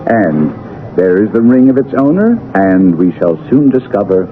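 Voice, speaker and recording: An actor playing a keen, self-assured detective with a brisk, emphatic, teasing manner in a 1970s radio drama. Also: male voice, lowish voice, very animated. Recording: tinny old radio broadcast, faint noise.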